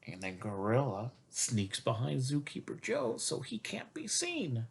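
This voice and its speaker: Male storytime reader for small kids: unhurried, lively pitch swings, chatty and questioning. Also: creaky, husky. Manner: expressive, chatty, asking questions as he reads. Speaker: coach reading to young children. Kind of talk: children's picture-book storytime.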